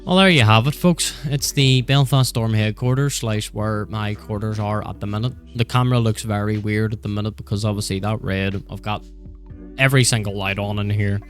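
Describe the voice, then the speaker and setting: A man's voice: lowish voice, fairly even intonation, brisk, soft background music. A man with a Northern Irish accent giving a chatty, rambling vlog tour of an office, filmed on a handheld gimbal camera. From Northern Ireland. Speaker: gaming vlogger. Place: indoor office space.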